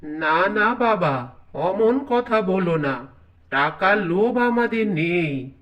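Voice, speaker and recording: Man acting a slow, high-voiced pleading elderly father. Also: animated, dull audio. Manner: slow, drawn-out, placating speech. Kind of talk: cartoon voice acting.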